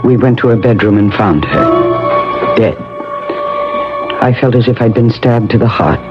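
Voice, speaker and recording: A performer playing a grieving, devoted friend, sombre and halting in 1970s radio drama style, on a muffled old broadcast. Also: medium pitch, loud music behind the voice, lightly acted. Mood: sombre, shaken. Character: grieving, devoted friend narrating a gothic tale.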